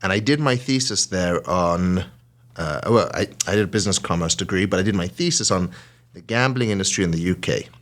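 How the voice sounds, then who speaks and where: A man with a British accent and a very low voice tells his story in a relaxed conversational podcast. He is animated and drops into quoted lines from other people. He sounds like he is in his early forties. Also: brisk. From South Africa. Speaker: hotel and casino CEO. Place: small podcast studio.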